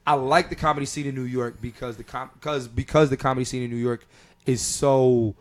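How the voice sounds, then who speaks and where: A man's voice: mid-range voice, fairly even intonation, fairly quick. A man in his thirties from Philadelphia, a guest comedian chatting casually, talking in loose runs full of fillers and restarts. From Philadelphia area, US. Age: 30s. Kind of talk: casual chat.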